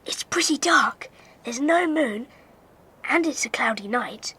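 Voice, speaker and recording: A woman playing a brisk, earnest schoolboy who leads a band of child detectives in a children's audio drama. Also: sits high, animated, bright, forceful tone, husky, heavily acted. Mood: hushed, commanding. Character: Peter, bossy schoolboy leader of child detectives.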